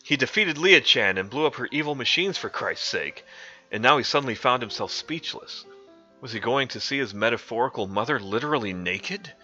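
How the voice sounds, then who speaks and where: A man's voice: medium pitch, expressive, a little fast, bright, forceful tone. A young man streaming a mock-dramatic reading of a comic fanfic, often cracking up with laughter. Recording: dull lo-fi stream audio with faint music.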